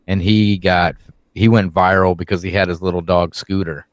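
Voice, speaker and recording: Very deep-voiced easygoing man. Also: fairly even intonation, normal speed, no room sound. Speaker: podcast guest. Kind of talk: casual chat.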